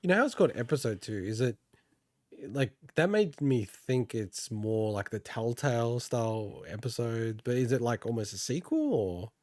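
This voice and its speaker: A man's voice: mid-range voice, expressive, moderate pace, darkish tone, dry recording, clean studio sound. A man co-hosting a podcast in casual chat, asking questions in a polite, tentative way.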